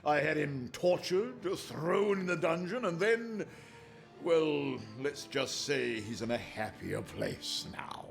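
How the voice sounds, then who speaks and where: A man's voice: fairly high pitch, sing-song, slow, gravelly, faint music behind, exaggerated voice. A man playing a pompous supervillain in a video game, formal, theatrical and politely menacing. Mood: smugly menacing. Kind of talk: video game character acting.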